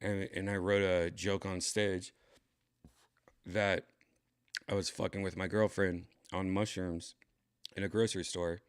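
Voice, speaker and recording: A tipsy man with a raspy, dry-throated voice, chatting casually as a comedian hosting his livestream, rambling and clearing his throat often. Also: deep voice, little pitch movement, unhurried, pauses often. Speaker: comedian streaming host.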